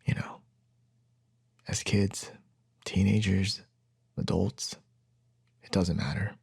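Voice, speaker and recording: A man performs as a caring young boyfriend in an ASMR roleplay. His voice is lowish, very husky and breathy, full of crackly fry. He speaks softly and hesitantly, reassuring his partner with tenderness. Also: steady tone, full character voice. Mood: thoughtful, soothing. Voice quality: soft, breathy, husky, often near a whisper. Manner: slow, hesitant, tender, with long pauses.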